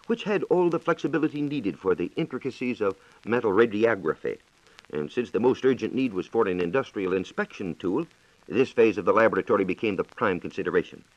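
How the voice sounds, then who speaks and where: Male American science reporter, vintage radio: lowish, animated intonation, formal explanatory delivery, dull old recording. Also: no echo. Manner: formal, explanatory, measured diction. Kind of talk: scripted 1940s radio exposition.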